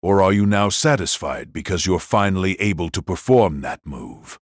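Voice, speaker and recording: A man playing a cool, mocking warrior in an audio drama, speaking in a measured, condescending way. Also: low-pitched, animated, no room sound, studio quality. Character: cool, mocking warrior taunting a fallen foe.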